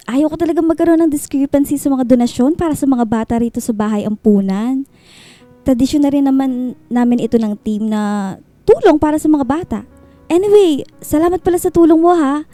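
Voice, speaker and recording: A woman's voice: high voice, warm tone, no room sound, close to an everyday voice. A woman playing a bashful, conscientious young female office manager in a Filipino radio drama, exclaiming and playfully protesting.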